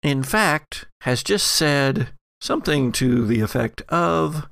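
A man narrating a tabletop horror game as game master, setting scenes theatrically with deliberate pauses. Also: a little high, animated, vocal fry, a bit bright, no room sound, studio quality. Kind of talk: narrating an actual-play horror roleplaying game.

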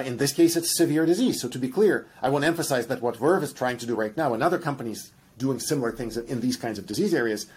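A middle-aged man with a Russian accent talks through a scientific topic as a podcast guest, lively and full of examples and asides. His voice has a husky, slightly grainy texture at an ordinary pitch. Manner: animated, explains with examples and asides. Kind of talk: explanatory podcast conversation.

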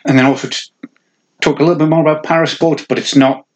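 A man, a podcast co-host and coach, chatting in a relaxed, self-mocking way as he steers the talk. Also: on the high side, fast talker, slight background noise. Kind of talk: casual chat.

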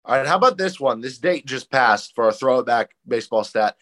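A man's voice: mid-range voice, animated, a little fast, a bit bright. A man co-hosting a sports podcast in casual chat, stuffed up and congested from a cold, with a dry, joking delivery. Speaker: podcast co-host.

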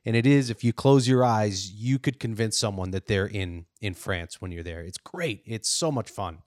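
A man's voice: on the low side, normal speed, darkish tone, dry recording, clean studio sound. A man from upstate New York who hosts a podcast and interviews his guest in a friendly, affirming way. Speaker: podcast host. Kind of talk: casual interview chat.

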